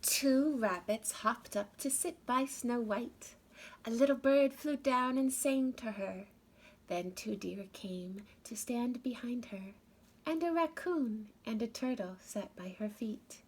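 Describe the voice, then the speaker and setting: A woman's voice: fairly high pitch, takes it slowly, husky. A woman reading a children's story aloud expressively, as if to young listeners. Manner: addresses young listeners with questions. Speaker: storybook reader.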